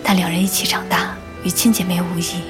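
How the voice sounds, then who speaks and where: An actress playing a gentle, earnest grown woman in a modern audio drama, speaking softly and tenderly. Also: fairly low pitch, little pitch movement, brisk, natural voice. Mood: tender, sorrowful. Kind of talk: modern Chinese radio drama acting. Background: music.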